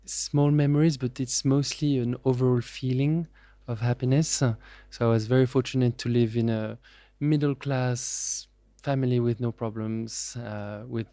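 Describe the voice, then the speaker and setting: A man, answering podcast questions in a measured, reflective way with frequent pauses and fillers. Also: mid-range voice, steady tone, dark tone, no echo. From France. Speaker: podcast guest. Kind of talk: casual interview.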